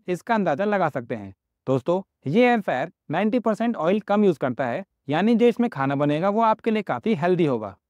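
A man in his thirties with an Indian accent, presenting a product review and then a recipe walkthrough in an upbeat sales pitch. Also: darkish tone, dry recording. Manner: upbeat salesy pitch, addresses viewers as friends. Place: small home office room indoors. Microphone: lapel mic.

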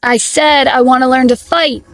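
A woman voicing a stubborn, calm, brooding young man in an audiobook. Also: high-pitched, brisk, vocal fry, no room sound, pitched above the performer's own voice. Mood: calm, determined. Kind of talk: audiobook character dialogue.

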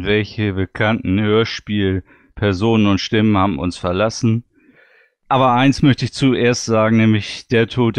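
A man's voice: lowish voice, smooth, dry recording. A man talking casually and reminiscing as a panelist on a podcast.

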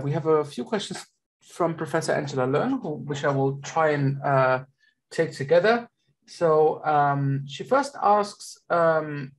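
A man's voice: on the high side, low-quality recording. A young man moderating a webinar, reading out audience questions with many hesitations, over a video call.

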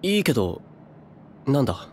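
A man voicing an earnest, intense young hero in a Japanese video game, speaking plainly and deliberately. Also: medium pitch, sing-song, slight background noise, close to an everyday voice. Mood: puzzled.

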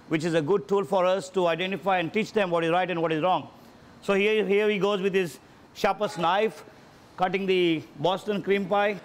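A man, an executive chef, walking through and explaining his kitchen in a casual way, often repeating words as he goes. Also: high voice. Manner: repeats words while gathering thoughts. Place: ship galley kitchen.

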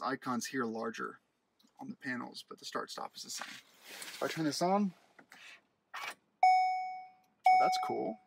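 A man's voice: medium pitch, vocal fry, a bit bright. Man, casual vlogger, thinking aloud, handheld phone recording, faint background noise. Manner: chatty, thinking aloud with fillers and restarts. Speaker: car vlogger. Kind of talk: casual walkaround commentary. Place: outdoors at a car dealership lot, then inside a car. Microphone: handheld phone camera.

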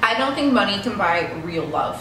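A woman's voice: mid-range voice, big pitch swings, moderate pace. An American woman in her twenties hosting a casual question-and-answer chat while eating. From United States. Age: 20s. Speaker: vlog host. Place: indoor room at a table. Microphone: camera a few feet away. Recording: very echoey room, distant mic, music behind.

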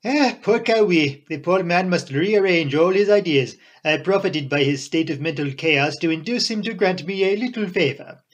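Guy reading does a genial fussy detective, florid formal wording, pitched up and husky. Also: expressive, normal speed, muffled recording. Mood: genial, teasing. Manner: florid formal foreign-flavoured phrasing. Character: fussy foreign male detective, genial and sly. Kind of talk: audiobook character voice.

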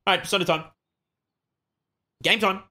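A young adult man chatting excitedly on a gaming livestream, tossing out short repeated catchphrases. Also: high-pitched, normal speed, a little hiss or hum. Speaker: game streamer.

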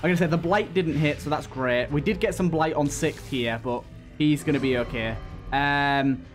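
Man, fairly high voice, casual gaming commentary, thinks aloud, repeats himself, game music behind. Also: moderate pace. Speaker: let's play host.

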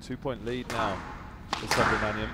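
A man giving play-by-play commentary on a squash match, brisk and lifting with excitement on big rallies. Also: on the low side. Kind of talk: sports commentary. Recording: commentary over loud arena crowd noise.

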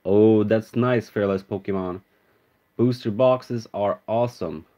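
A man's voice: on the low side, steady tone, unhurried, mellow. Swedish man chatting on a lo-fi stream. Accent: Swedish, American-tinged. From Sweden. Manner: chatty, reads out viewer names, names cards as he pulls them. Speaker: card-opening streamer. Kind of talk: casual live-stream chat. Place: indoor room with card display.

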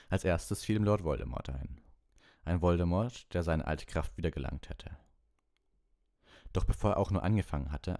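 A man reading a story as an audiobook narrator, in an expressive scripted style. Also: a bass voice, no room sound, studio quality.

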